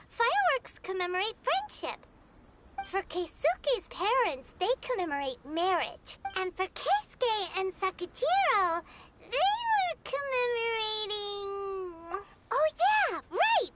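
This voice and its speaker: Tiny fairy sidekick, super high and bouncy, music going behind. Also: female voice, takes it slowly, slight background noise, full character voice. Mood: curious. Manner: excitable, talks about herself in third person. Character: tiny chirpy floating fairy companion, female. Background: game music.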